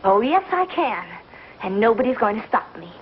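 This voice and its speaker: An actress playing a headstrong young pioneer wife with emotional, theatrical delivery in a 1950s television western. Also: female voice, on the high side, sing-song, normal speed, slight background noise. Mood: defiant, angry. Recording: muffled 1950s TV soundtrack with music.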